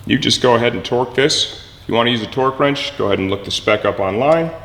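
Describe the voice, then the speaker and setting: A man presenting a hands-on mechanical how-to, instructive and often checking in with a quick "okay". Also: medium pitch, gravelly, a bit bright, in a large hall.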